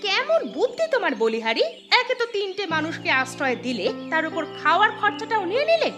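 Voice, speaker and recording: A woman voicing a suspicious, sharp-tongued village wife in a cartoon, with a scolding, sarcastic manner. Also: very high-pitched, wildly swooping pitch, fairly quick, faint music behind, heavily acted. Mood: angry, scornful. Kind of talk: Bengali cartoon voice acting.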